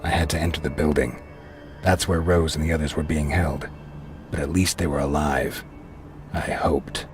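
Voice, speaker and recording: An actor playing a brooding vampire hero who narrates his hunt gravely and in a measured way for a video game. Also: a bass voice, steady tone. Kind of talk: scripted noir-like game narration. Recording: loud music behind the voice.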